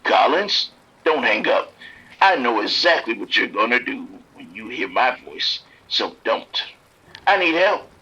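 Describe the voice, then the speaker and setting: An actor playing a streetwise pimp trapped in a motel bathroom, blunt and grudging with the police. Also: male voice, medium pitch, very animated, unhurried, pushed and bright, slightly rough, exaggerated voice, poor audio. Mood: bitter. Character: streetwise male pimp hiding from attackers, bitter toward police.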